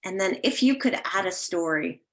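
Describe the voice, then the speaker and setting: A woman hosts an online listening session in a fairly low voice. She talks informally, inviting responses from the people taking part. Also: animated, normal speed. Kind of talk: announcing and casual event hosting.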